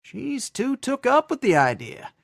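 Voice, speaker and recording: An actor playing a fretful, folksy old frontier storekeeper in a radio western, hesitant and fussing, with rustic diction. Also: male voice, very high voice, huge pitch swings, measured pace, gravelly, a bit bright, dry recording, studio quality, exaggerated voice. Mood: chatty, folksy.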